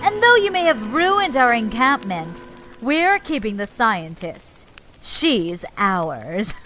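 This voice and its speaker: A woman voicing a gloating fox villain commander in a science-fiction audio drama, theatrical, drawn-out and taunting. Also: fairly high pitch, big pitch swings, sharp tone, faint music behind, exaggerated voice, low-quality recording. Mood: gloating, triumphant.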